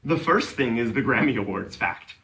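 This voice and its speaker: Bright-voiced man reading aloud, mock-serious. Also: mid-range voice, animated, moderate pace, vocal fry, recorded from a distance. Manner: tends to break into giggles. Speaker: reader of a comedic dramatic reading. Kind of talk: mock-serious read-aloud of clumsy text.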